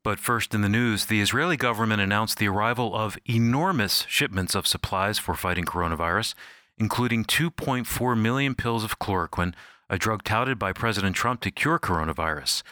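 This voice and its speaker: A man's voice: fairly low pitch, a little fast, sharp tone, no room sound, studio quality. A man announcing a radio program in a scripted style, recorded at home. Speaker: radio show announcer and co-host. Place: remote home recording, dry.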